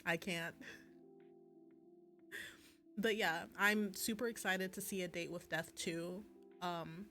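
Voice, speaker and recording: A woman's voice: on the low side, steady tone, slow pace, pauses often, bright, forceful tone. Rambling, gushing woman streamer over music. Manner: rambling, gushing, self-interrupting. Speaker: livestreamer playing visual novels. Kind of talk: casual chatty stream commentary. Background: background music.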